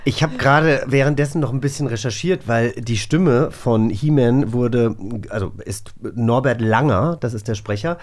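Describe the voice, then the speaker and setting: Male podcast host with a mid-pitched voice. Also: normal speed, hardly any pauses. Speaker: host of a radio play podcast. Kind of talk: casual nerdy chat.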